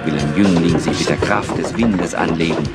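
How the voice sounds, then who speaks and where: Low-voiced male storyteller over music. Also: moderate pace. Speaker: narrator. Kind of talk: dramatic scripted storytelling for an audio play.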